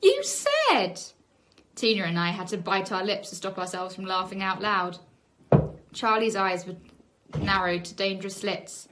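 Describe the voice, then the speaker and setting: A woman teacher reading a children's book aloud in an animated storybook style, leaning on key words with dramatic emphasis. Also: mid-range voice, normal speed, heavy background noise.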